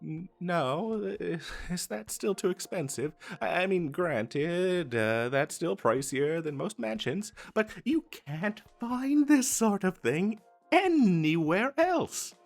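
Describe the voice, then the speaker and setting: A man's voice: sits high, very animated, slow, creaky, soft background music, heavily acted. A man playing an eager, pushy wizard salesman in a comedic fantasy roleplay, chatty and stammering through his sales patter. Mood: flustered, reluctant.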